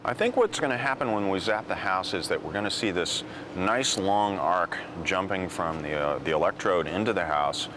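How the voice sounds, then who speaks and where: A man explaining an experiment at an outdoor testing site, in a calm, dry manner. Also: sits low, moderate pace. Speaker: co-host. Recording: outdoor noise behind voice.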